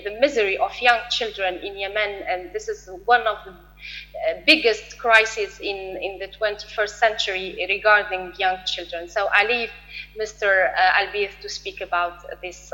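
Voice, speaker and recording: Bright-voiced halting woman moderator. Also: medium pitch, poor audio. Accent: Arabic. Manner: halting, many fillers and restarts. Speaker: conference moderator. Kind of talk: hesitant conference chairing.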